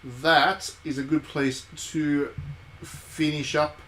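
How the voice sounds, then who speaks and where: A man's voice: a little high, steady tone, slow, fairly bright tone, low-quality recording. A man hosting a game stream, giving casual live commentary and thinking aloud in conversational asides.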